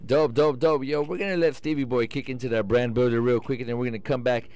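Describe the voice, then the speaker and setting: Slangy American male radio host, mid-pitched. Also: fairly even intonation, fast talker, darkish tone, soft background music. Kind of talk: casual, slangy radio interview hosting. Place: radio station studio.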